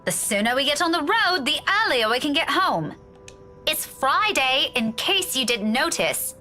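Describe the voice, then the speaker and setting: A woman's voice: high voice, big pitch swings, moderate pace, bright, forceful tone, full character voice. An actress in a visual novel playing a prickly, weary young woman who speaks curtly and impatiently. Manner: curt, sardonic, impatient. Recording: music behind the voice.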